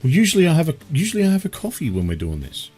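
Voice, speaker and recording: A laid-back Australian man hosts a gaming podcast, his voice husky and still a touch croaky from a cold. He chats easily with his brother, and faint music sits in the background. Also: fairly high pitch, huge pitch swings, racing, darkish tone. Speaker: podcast host. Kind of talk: casual chat.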